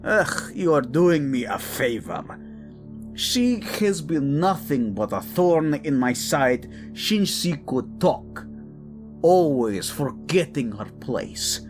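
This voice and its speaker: A man's voice: medium pitch, exaggerated voice. An actor playing a cruel, gloating old king in an audio drama, sneering and drawing out his words with sinister relish. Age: older.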